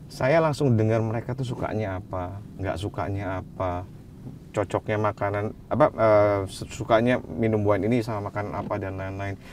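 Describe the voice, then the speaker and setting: A man answering interview questions as a wine expert, relaxed, slipping English phrases into his speech. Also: on the low side, normal speed, slight background noise.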